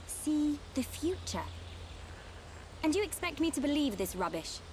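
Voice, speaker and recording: A woman's voice: high voice, very animated, a little slow, a little mellow. Haughty young british noble lady, game cutscene, voice swings a lot, bit of background noise. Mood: indignant. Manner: haughty, formal, clipped. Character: proud, guarded young noblewoman sworn to duty. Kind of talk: dramatic video game acting.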